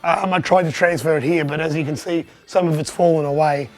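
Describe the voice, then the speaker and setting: A hoarse man who has lost his voice, giving a chatty, upbeat vlog tour of his arcade collection. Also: a little high, fairly even intonation, brisk, soft background music. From Australia. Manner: chatty, upbeat, pointing things out.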